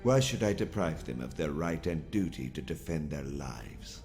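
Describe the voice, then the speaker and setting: An actor playing a calm, calculating alien naval lieutenant who speaks in a measured, formal, commanding way in an audio comic dub. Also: male voice, a bass voice, faint music behind.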